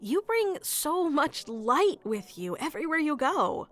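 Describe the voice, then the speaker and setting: A woman playing a sweet, flustered florist secretly in love with a best friend, in an intimate audio roleplay with a hesitant, soft-hearted manner. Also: high voice, big pitch swings, a little slow, exaggerated voice. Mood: tender. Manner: hesitant, soft-hearted, breaking into nervous chuckles and sighs. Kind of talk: intimate ASMR roleplay acting.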